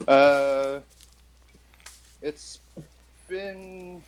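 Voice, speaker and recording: A man casually refereeing a tabletop game as game master, halting and thinking aloud. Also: a little high, background noise.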